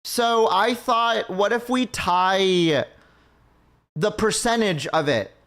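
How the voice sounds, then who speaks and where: A man's voice: high-pitched, takes it slowly, a bit bright. A man from Mexico chatting casually with his stream viewers, rambling and thinking aloud as he goes. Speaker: livestream host.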